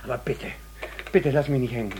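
A man acting in a radio drama as a desperate, emotional character with halting speech. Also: mid-range voice, a little mellow, lightly acted. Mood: desperate pleading. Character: desperate man pleading, then bitterly cursing a woman. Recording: very noisy old cassette with clicks.

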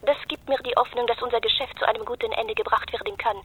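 An actress playing a formal, imperious French businesswoman with a French accent, measured and politely insistent in a radio drama. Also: a little high, fairly even intonation, phone-quality sound, slight background noise, lightly acted. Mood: cool, businesslike.

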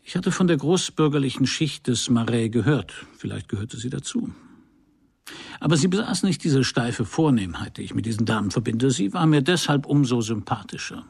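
Wry, gravelly private detective. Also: male voice, mid-range voice, lively intonation, normal speed, a little mellow, no echo. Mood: wry, observant. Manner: dry, wry, polite. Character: male private detective, wry, unruffled, observant first-person narrator. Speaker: narrator and lead actor. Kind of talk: radio crime drama, narration and dialogue.

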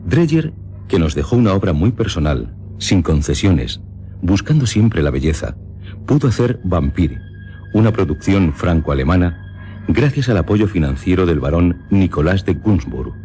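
A man with a Castilian Spanish accent hosting and narrating a literary radio programme in measured, dramatic phrases. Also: sits low, sing-song, mellow. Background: background music.

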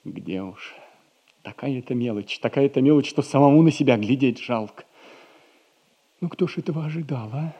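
An actor playing a poor, lovelorn young clerk, plaintive and self-pitying, in a theatrical 1960s audio comedy. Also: male voice, mid-range voice, normal speed, highs cut off, natural voice. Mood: dejected.

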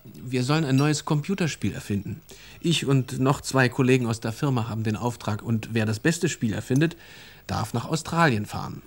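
Busy dad played by a man: mid-pitched, self-assured, matter-of-fact, naturalistic children's radio drama. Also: moderate pace, lightly acted. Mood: proud. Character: busy, self-confident father, computer game designer.

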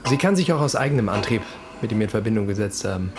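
An actor playing an evasive, defensive murder suspect in a radio crime drama. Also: male voice, lowish voice, expressive, fast talker, over music, lightly acted. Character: evasive murder suspect protesting his innocence.

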